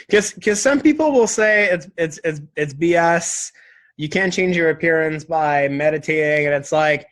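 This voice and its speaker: A man's voice: on the high side, fairly bright tone. A man in his twenties talking casually and reflectively as an interview guest, earnest, often saying "like" and "right?". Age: 20s.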